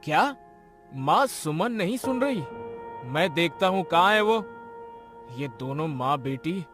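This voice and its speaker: A worried cartoon husband voiced by a man, fretful and hurried, with a fairly high voice. Music runs clearly under his lines. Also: lively intonation, a bit bright. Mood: puzzled. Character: grown son Vinod, worried husband.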